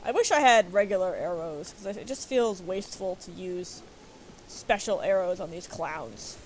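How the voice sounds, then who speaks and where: A woman's voice: on the low side, lively intonation, moderate pace, slight background noise, poor audio. A woman in her thirties streaming a video game, chatting casually and relaying messages from chat. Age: 30s.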